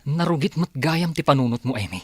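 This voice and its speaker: Husky, earnest father acted by a man. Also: on the high side, lively intonation, fairly quick, dry recording. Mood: reproachful. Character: protective, reproachful father defending his adopted son. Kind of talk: audio drama acting.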